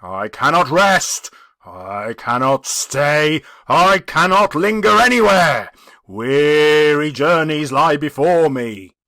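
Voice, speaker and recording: Audiobook phantom played by a man: anguished dead businessman, declamatory and mournful, ringing forceful tone, very dry sound. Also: fairly high pitch, lively intonation, dry recording, exaggerated voice. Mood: tormented. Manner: declamatory, mournful, drawn-out delivery. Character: anguished ghost of a dead businessman, tormented and booming. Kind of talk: dramatic audiobook character reading.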